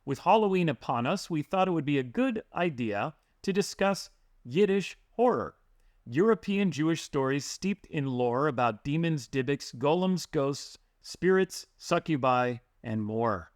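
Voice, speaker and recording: A man's voice: a little high, lively intonation, a little slow, no room sound, studio quality. A man co-hosting a podcast, reading a scripted introduction and then chatting loosely with fillers. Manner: reads the intro crisply, then chats with fillers. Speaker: podcast co-host.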